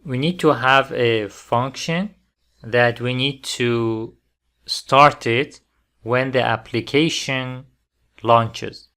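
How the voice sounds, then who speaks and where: A man presenting a programming tutorial step by step, phrasing things deliberately in short, halting fragments. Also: medium pitch, fairly even intonation, pushed and bright, smooth. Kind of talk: step-by-step software tutorial narration.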